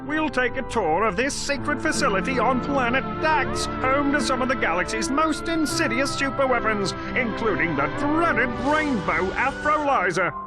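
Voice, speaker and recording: High-voiced sensational TV host. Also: male voice, animated, normal speed, hardly any pauses, over loud music. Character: breathless, sensational male TV documentary host. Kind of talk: tabloid TV presenting.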